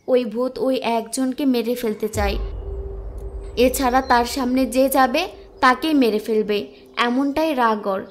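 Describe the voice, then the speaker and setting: High-pitched earnest young village woman, acted. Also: slight background noise. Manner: pleading, earnest. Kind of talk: cartoon voice acting.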